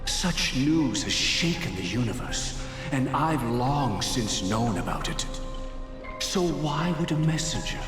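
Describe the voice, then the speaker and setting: A man playing a grave, world-weary figure in a game, speaking with solemn, deliberate formality. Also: mid-range voice, sing-song, in a large hall, music in the background. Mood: grave, resigned. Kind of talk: dramatic scripted game dialogue.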